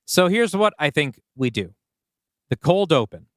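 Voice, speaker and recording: Man, slightly higher-pitched than middle, podcast co-host, casual, explanatory, brainstorming aloud, dry room. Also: very animated, a little slow, a bit bright, no room sound. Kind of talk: casual collaborative discussion. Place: indoors, two people seated across from each other.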